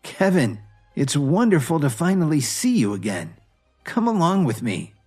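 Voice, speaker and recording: Gracious female company president played by a male narrator, high, effusive, music bed. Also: big pitch swings, normal speed, a little mellow, breathy edge. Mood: delighted. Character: gracious businesswoman, company president, warmly grateful. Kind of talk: audio drama character voice.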